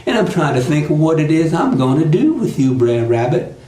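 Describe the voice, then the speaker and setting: A man's voice: on the high side, very animated, normal speed, mellow, roomy sound, poor audio. A man voicing a sly, gloating fox in a folk tale, drawling and taunting as he thinks aloud. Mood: gloating, musing. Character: sly, gloating fox savoring his catch.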